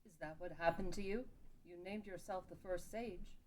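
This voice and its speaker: A woman's voice: fairly low pitch, fairly even intonation, normal speed, a little mellow, lightly acted. A woman playing a stern, devoted warrior bodyguard in a fantasy table read, recorded with some noise. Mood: concerned. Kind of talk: dramatic table read of a fantasy game story.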